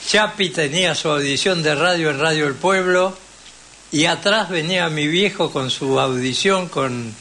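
Older Argentine man, bright, higher voice. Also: a little slow. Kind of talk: reminiscing conversation on radio.

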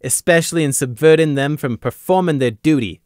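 A man doing a raspy fanged guard interrogator. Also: fairly high pitch, a little fast, no echo, studio quality, pitched above the performer's own voice, lightly acted. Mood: stern. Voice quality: raspy, commanding.

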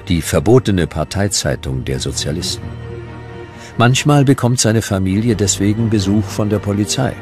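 A male narrator tells history from a script. His voice is very deep, with lively rises and falls. The delivery is measured and dramatic, and music plays clearly behind him. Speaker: documentary narrator.

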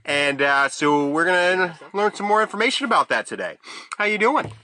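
A man hosting a podcast, asking questions in casual interview chat, halting and rephrasing as he goes. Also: sits high, big pitch swings, pushed and bright, no echo, poor audio.

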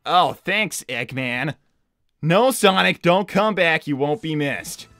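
A man voicing a brash young cartoon hedgehog hero, flustered and defensive, talking casually and haltingly in character. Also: a little high, lively intonation, pushed and bright, dry recording, faint music behind, heavily acted. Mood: weary, sarcastic. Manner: halting, casual teenage slacker phrasing. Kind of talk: improvised in-character livestream Q&A.

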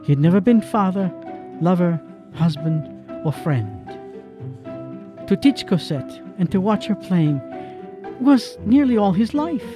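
A man narrating a drama from a script, with measured, solemn storytelling. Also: sits high, expressive, over music.

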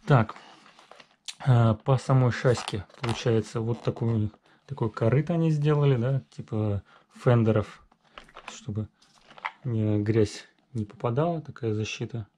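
A man talks through a model truck in a workshop, stopping often to correct himself and fill pauses. His voice is mellow and of middle pitch. A faint hiss sits under the recording. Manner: halting, many fillers and self-corrections. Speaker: RC hobby reviewer. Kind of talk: casual unboxing walkthrough. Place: indoor garage workshop.

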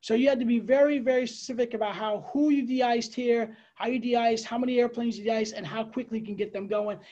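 A middle-aged man with an American accent explains slides as an air traffic controller, conversational and a bit halting, with pauses and asides. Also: very high-pitched, steady tone, warm tone, highs cut off. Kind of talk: informal slide presentation, explanatory. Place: indoors, on a video call.

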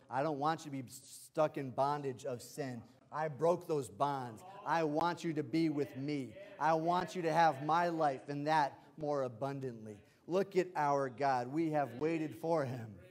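A man preaching from a church pulpit, his voice strained as he loses it. He swings between ringing, shouted praise and quiet, low passages. His pitch sits in an ordinary middle range. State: losing his voice. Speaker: pastor. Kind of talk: sermon. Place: church sanctuary.

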